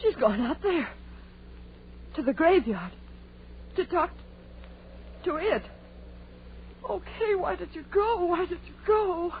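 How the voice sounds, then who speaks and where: A woman's voice: extremely high pitch, animated, slow, pauses often, full character voice. An actress playing a frightened, pleading young woman in an old-time radio horror drama, anxious and imploring. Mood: anxious, panicked. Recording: tinny, noisy vintage radio recording.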